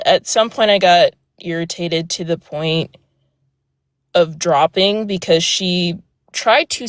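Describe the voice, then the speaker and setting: A young woman with a soft voice telling a personal story on a live panel, hesitant with many fillers and restarts, speaking from outdoors. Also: low voice, no room sound, clean studio sound. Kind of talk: casual personal account. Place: outdoors near a road.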